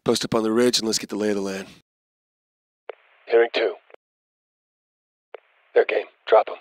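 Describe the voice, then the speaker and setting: An actor playing a gruff, wry, laconic American Marine in video game battle chatter. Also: male voice, fairly low pitch, steady tone, moderate pace, dry recording, close to an everyday voice, rough recording. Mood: businesslike.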